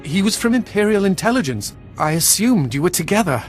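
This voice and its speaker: An actor playing a stiff, formal, deferential military lieutenant in a video game. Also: male voice, high voice, big pitch swings, fairly quick. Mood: formal, wary. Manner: formal, clipped. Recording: clear music behind the voice.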